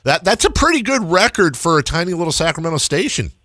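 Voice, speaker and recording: A man's voice: fairly high pitch, sing-song, fast, pushed and bright, no room sound, clean studio sound. An American man hosting a sports radio show, interviewing in a conversational way.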